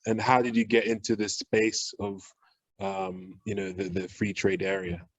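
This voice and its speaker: A man's voice: fairly low pitch, level tone, a little slow, dry recording, rough recording. A man hosting an interview, enthusiastic and often repeating his words as he asks questions. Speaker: podcast host. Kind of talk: casual interview.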